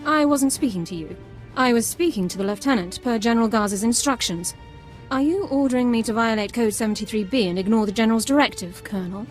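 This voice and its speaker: Woman doing a stiff by-the-book sergeant, clipped and formal, higher voice, soft music behind. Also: fast, lightly acted. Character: precise, rule-quoting young female sergeant. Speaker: army sergeant.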